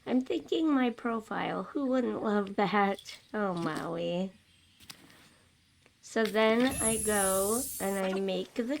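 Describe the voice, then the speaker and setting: Slow-paced woman with a slightly rough voice. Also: medium pitch, a little hiss or hum. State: slightly rough, raw voice while recovering from a cough. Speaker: host of a gaming video series. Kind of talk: casual gameplay narration voice-over.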